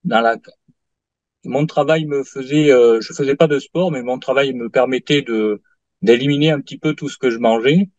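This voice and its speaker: A man in his late fifties giving a halting testimonial in an interview, full of fillers and restarts. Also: mid-range voice, darkish tone, no room sound. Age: 50s. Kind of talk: hesitant interview answers. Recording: dull lo-fi dry sound.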